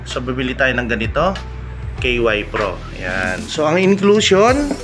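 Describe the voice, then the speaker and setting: A middle-aged man with a Filipino accent, chatting to viewers in a casual vlog full of shout-outs. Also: mid-range voice, very animated, unhurried. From Philippines. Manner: chatty, often addressing viewers. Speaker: motovlogger host. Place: vlogging in an intercom accessory shop. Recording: loud music behind the voice.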